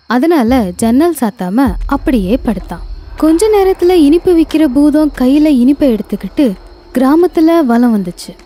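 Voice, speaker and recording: A woman narrating an animated horror story in a lively storytelling manner. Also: high-pitched, measured pace.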